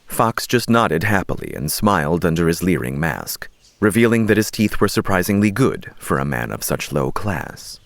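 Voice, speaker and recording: A man's voice: low voice, vocal fry, dry recording, studio quality. A man narrating an audiobook story in a scripted storytelling style.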